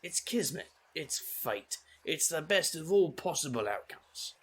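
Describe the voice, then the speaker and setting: A man's voice: a little high, sing-song, unhurried, slightly rough, exaggerated voice. A man playing a roguish, easygoing bartender who banters wryly in a comic audio drama. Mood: wry teasing. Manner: wry, bantering.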